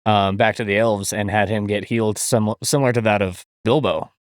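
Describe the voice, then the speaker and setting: Low, dry-sounding man, halting casual co-host. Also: steady tone, no room sound. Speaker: podcast co-host. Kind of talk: casual chat, sweary.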